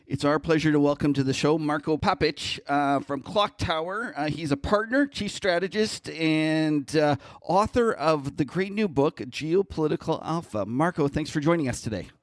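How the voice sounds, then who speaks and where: A man co-hosting a casual interview podcast, talking in an easygoing, halting way that often restarts sentences. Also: a little high, expressive, no room sound.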